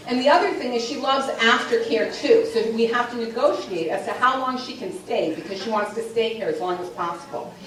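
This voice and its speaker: An older woman giving an articulate prepared testimonial speech as a grandmother. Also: a little high. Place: large echoey hall. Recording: very echoey hall, far from mic.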